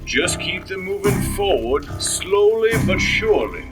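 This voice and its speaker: A man acts a practical family father in a radio-style drama. His voice sits a little high and he directs others briskly. Music plays clearly behind him. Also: expressive. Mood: steady, directing. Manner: brisk, instructing. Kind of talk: audio drama dialogue.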